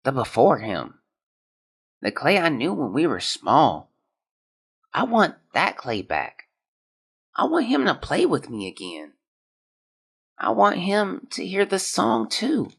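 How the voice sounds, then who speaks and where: A performer playing a sickly, dreamy teenage boy, delivering a yearning journal monologue in a husky, breathy voice for an audio drama. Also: mid-range voice, wildly swooping pitch, takes it slowly, long pauses, exaggerated voice. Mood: yearning, resigned.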